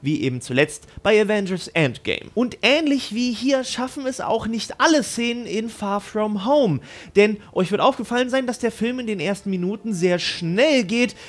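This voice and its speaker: A man's voice: high-pitched, animated, normal speed. A man hosting a film news video, delivering scripted commentary straight to viewers in an emphatic way. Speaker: YouTube host on film news.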